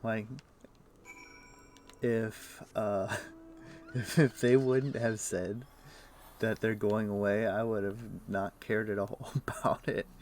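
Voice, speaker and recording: A man's voice: fairly low pitch, fairly even intonation, slow, pauses often, darkish tone, slight background noise. A man streaming a game, chatting casually and explaining things in a loose, rambling way full of fillers. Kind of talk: casual chat while playing.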